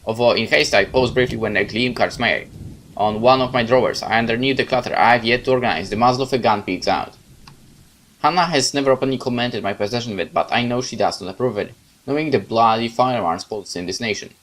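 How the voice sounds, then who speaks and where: A man reading a visual novel aloud as a let's player, in expressive, dramatic first-person narration with casual asides. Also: mid-range voice, quick pace, poor audio.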